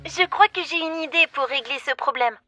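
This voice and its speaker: A woman's voice: high voice, brisk, close to an everyday voice. A woman voicing a resourceful, upbeat, take-charge young female pet in a French children's cartoon. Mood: confident. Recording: children's music behind.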